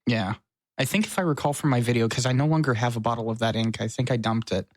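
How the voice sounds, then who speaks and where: A man's voice: medium pitch, steady tone, fast. A man co-hosting a podcast, chatting casually with a joking, self-mocking manner. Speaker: podcast co-host. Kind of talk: casual chat. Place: his apartment.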